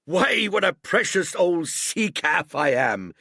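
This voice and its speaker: A hearty old one-legged cook, as a man puts him on, blustering. His voice swoops wildly and grinds with gravel. The sound is dry and close, with a bright, husky edge. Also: big pitch swings, slow, no echo, exaggerated voice. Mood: confiding. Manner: blustering, booming sea-dog bluster.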